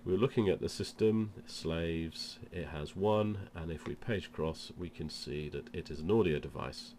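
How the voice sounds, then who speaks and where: A man with a very deep voice and a cold gives an informal how-to demonstration. He explains each step as he goes, and there is clear noise in the recording. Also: normal speed, darkish tone. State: has a cold. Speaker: presenter of product demonstration. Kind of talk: informal instructional demo.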